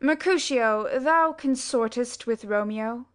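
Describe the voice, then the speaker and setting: Villainous young hothead played by a woman, fairly high voice, curt and haughty, spoiling for a duel in classical verse. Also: slow. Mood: curt, menacing. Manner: curt, challenging. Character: haughty, aggressive young male duelist, villain. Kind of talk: Shakespeare audiobook dramatic reading.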